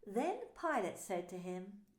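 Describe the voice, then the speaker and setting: A woman's voice: medium pitch, very animated, measured pace, a little mellow. A woman minister narrating a dramatised scripture story, telling it expressively. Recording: roomy echo.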